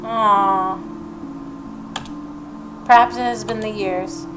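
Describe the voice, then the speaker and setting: A woman's voice: medium pitch, fairly even intonation, extremely slow, poor audio. A woman gaming host who reads a visual novel aloud and adds opinionated, reactive commentary. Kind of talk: game commentary, reading narration aloud. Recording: game music behind the voice.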